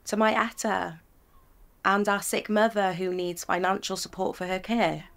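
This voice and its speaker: A young woman in a period audio drama, chatty and teasing, a little gravelly at the edges. She is bold and lively in every line. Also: medium pitch, moderate pace. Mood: earnest. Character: young working-class woman emigrating, teasing. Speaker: photography client.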